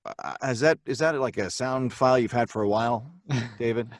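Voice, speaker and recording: A man's voice: medium pitch, moderate pace, no room sound. A man, a voice actor on a live show, chatting casually and joking with colleagues.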